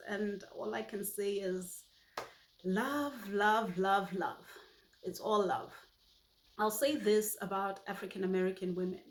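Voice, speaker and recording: A woman in her forties from Botswana, whose first language is Setswana, telling personal stories in a warm, heartfelt way. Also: mid-range voice, echoey room, rough recording. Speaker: YouTube host. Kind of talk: personal reflective monologue.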